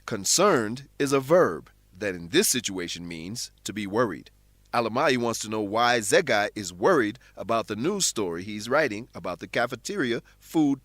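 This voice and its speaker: A man narrating a scripted English lesson in a deliberate, explanatory manner, like a teacher. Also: medium pitch, a bit bright, no room sound.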